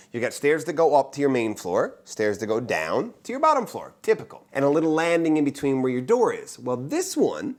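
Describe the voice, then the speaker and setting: Animated man telling casual stories. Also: mid-range voice. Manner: joking, self-interrupting, conversational. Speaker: real estate agent and show host. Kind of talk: casual storytelling.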